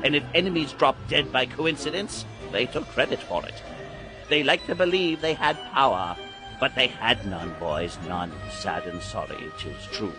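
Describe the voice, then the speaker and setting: An actor playing a mysterious, grandly macabre guide to young boys in a dramatized audiobook, declaiming like an incantation. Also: male voice, mid-range voice, lively intonation, moderate pace, close to an everyday voice, low-quality recording. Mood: brooding, confiding. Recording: loud music under the voice.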